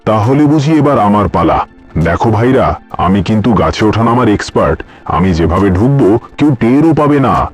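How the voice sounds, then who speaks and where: A man voicing a cocky, mocking, boastful monkey in an animated fable. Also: lowish voice, moderate pace, slightly rough, faint music behind. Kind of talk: animated fairy-tale voice acting.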